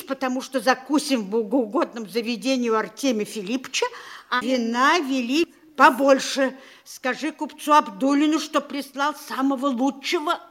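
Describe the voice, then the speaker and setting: Casting: vain middle-aged official's wife, very high voice, flustered, bickering, theatrical radio play style. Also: female voice, a bit bright. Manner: flustered, exclamatory, bossy, quick to bicker. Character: fussy, vain mayor's wife, a provincial lady and mother.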